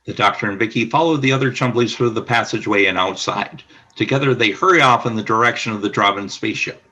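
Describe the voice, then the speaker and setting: A man narrating a scripted radio play as storyteller, with a husky voice. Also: lowish voice, fast, sharp tone, low-quality recording.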